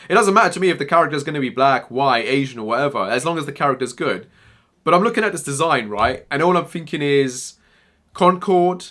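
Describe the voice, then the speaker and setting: Young male commentator with a bright, tense voice and a rambling, emphatic delivery. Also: fairly high pitch. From Germany-born Iranian, raised in England. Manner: rambling, repeats words, emphatic. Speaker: gaming commentator. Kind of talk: opinionated casual commentary.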